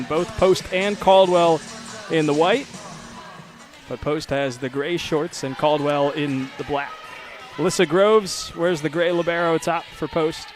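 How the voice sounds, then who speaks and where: A man calling a college volleyball match as play-by-play announcer, giving lineups and background in a steady, informative way. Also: fairly high pitch. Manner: steady informative delivery with occasional stumbles over names. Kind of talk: live sports commentary.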